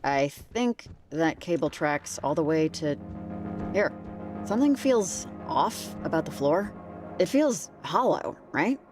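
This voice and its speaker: A sarcastic young female explorer in a video game, with a notably low voice. She talks in an understated, natural conversational way, skeptical and curious. Music plays behind her. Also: expressive, slow pace, a little mellow. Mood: curious, focused. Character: dry-witted, skeptical young woman exploring a cult's hideout.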